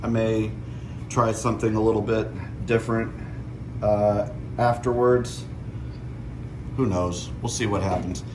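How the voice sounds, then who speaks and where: A man hosting a casual hands-on DIY vlog, thinking aloud in a halting, self-deprecating, crude way. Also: fairly low pitch, little pitch movement. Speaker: host of a DIY truck modification vlog. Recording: clear background noise and ambience.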